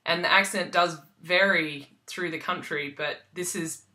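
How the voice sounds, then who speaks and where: Young australian woman, general australian accent, crisp bright tone, chatty vlog talk with ums. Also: on the low side, measured pace. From South Australia. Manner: chatty, loose, lots of ums and restarts. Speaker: vlogger. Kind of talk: casual talk to camera.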